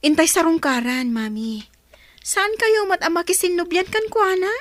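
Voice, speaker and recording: A woman's voice: very high voice, normal speed, no room sound. A woman playing an insistent young daughter in a radio drama. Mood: urging. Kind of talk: Filipino radio drama acting.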